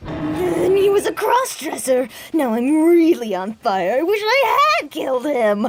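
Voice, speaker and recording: Girl doing a crazy taunting anime schoolgirl, high n swoopy, leering. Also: big pitch swings, measured pace, faint music behind, exaggerated voice. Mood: manic glee. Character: lewd, unhinged, taunting teenage girl. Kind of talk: anime game fan dub.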